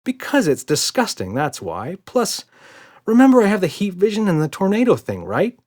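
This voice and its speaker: A man's voice: high voice, wildly swooping pitch, moderate pace, darkish tone, pitched above the performer's own voice, heavily acted. A man voicing a patient, reassuring, playful father in a children's storybook, indulgent and mock-serious. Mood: reluctant.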